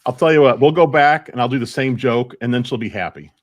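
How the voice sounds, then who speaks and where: A man's voice: medium pitch, quick pace, a little hiss or hum. A man in his fifties hosting a video game podcast in a casual, chatty way. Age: 50s. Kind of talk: casual chat.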